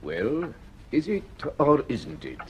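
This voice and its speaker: An actor playing a keen middle-aged astronomer in a vintage radio drama, reasoning emphatically step by step. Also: male voice, medium pitch, wildly swooping pitch, slow, dull audio, a little hiss or hum. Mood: quiet conviction. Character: keen senior male Scottish astronomer, thoughtful and decisive.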